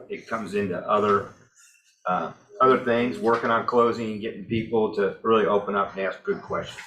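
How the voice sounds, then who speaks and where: A man's voice: fairly low pitch, a bit bright. A man in a team meeting explaining a weekly practice session in a plain, practical way. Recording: echoey room, faint noise.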